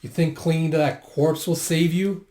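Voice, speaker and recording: A man's voice: on the high side, fairly even intonation, moderate pace, mellow, roomy sound, voice pushed up, natural voice. Man doing a ruthless, sneering soldier. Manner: barking, sneering. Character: ruthless menacing male soldier, contemptuous and commanding.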